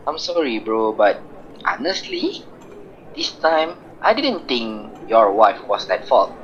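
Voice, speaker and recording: A man acts a candid, reasonable best friend in an amateur radio play. His informal delivery swings widely in pitch, and the recording is dull. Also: very animated, takes it slowly, a bit bright, full character voice. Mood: frank advice. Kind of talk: amateur radio drama acting. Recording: dull, slightly noisy recording.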